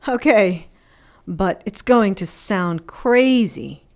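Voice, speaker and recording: A tough female adventurer in an audio drama. She speaks at a middle pitch with a sardonic edge and spins her tale in plain, colloquial language, like someone battered but unbowed. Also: animated, slow, darkish tone. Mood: wry, pained. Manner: wry, sardonic, colloquial storytelling. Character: Carmen, tough wry wounded woman adventurer telling her tale. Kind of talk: audio drama acting, conversational storytelling.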